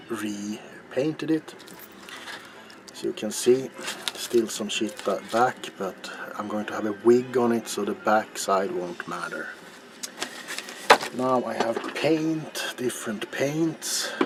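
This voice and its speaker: A middle-aged man narrating a craft in a soft-spoken, hesitant ASMR style that slips into whispers. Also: medium pitch. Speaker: ASMR video maker. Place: small cluttered indoor home workroom. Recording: clear background room noise.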